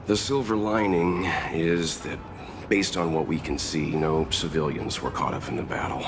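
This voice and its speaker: A man voice actor playing a calm, mature gentleman leading travelers in a video game, measured and earnest as he explains. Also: low voice, close to an everyday voice. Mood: observant, wary.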